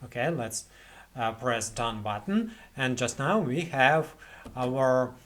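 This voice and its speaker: Slow, halting Russian-accented young man. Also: mid-range voice, animated. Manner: hesitant, many fillers and restarts. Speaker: tutorial host. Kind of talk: screen-recorded software tutorial. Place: small indoor room at a computer.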